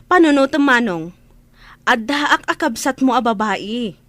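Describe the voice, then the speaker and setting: An actress with an Ilocano accent playing a principled younger sister with a pointed, reproachful manner in a radio drama. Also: female voice, sits high, expressive, slow pace, a bit bright, no room sound, full character voice. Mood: reproachful, pleading. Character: principled, outspoken younger sister scolding her elder brother.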